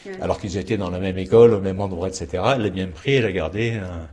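A man with a southern French accent telling family stories in a relaxed, chatty way, with chuckling asides. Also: deep voice, fast talker, a crowd in the background. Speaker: interviewee. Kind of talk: casual reminiscing. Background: music.

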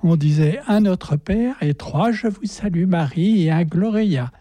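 A man's voice: sits high, expressive, unhurried, dark tone, no echo. An older man from a prayer group answering questions in a hesitant, modest way.

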